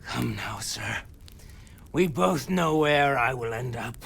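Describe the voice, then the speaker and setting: An actor playing a wounded, defiant captive who mocks his captors slowly between sighs and laughs in a game drama. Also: mid-range voice, lively intonation, gravelly, fairly bright tone, slight background noise, exaggerated voice. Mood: taunting. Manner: slow, taunting, breaking into sighs and wheezy laughs. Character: wounded captive henchman, mocking, defiant, resigned to death. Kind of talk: dramatic video game acting.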